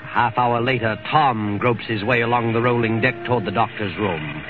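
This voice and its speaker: A man's voice: mid-range voice, moderate pace. A man narrating an old-time radio drama in a scripted style. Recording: tinny old radio broadcast. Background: music.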